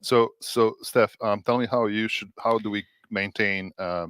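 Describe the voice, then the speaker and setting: A man from Serbia hosting a casual tech livestream, animated and laughing often, with many restarts and fillers. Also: lowish voice, measured pace, clear, resonant tone, no room sound. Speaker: host, developer advocate.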